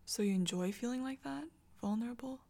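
An intense yet tender young girlfriend, as a woman acts her out in an audio roleplay. She talks in a close, hushed whisper with a gravelly, fry-laden edge and stumbles over her words when she is flustered. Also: fairly high pitch, a little fast. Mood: tender confession.

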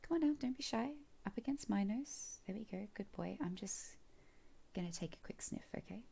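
A woman voicing a teasing, commanding middle-aged mother in a solo audio roleplay. She speaks softly and coaxingly, often in a whisper, close to the mic. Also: medium pitch, expressive, moderate pace, vocal fry, husky, heavily acted.

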